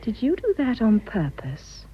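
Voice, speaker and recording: A woman's voice: on the high side, wildly swooping pitch, unhurried, highs cut off, a little hiss or hum. An actress playing a sweet, impulsive young lady in a British radio drama, effusive and gushing, with a British accent. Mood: dismayed, concerned.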